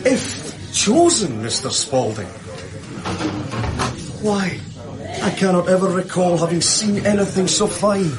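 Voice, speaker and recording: A pompous, effusive official in a radio play. His rather high voice swoops theatrically and declaims every point. A crowd murmurs behind him. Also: animated, slow, pauses often. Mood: effusive admiration. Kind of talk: radio drama acting.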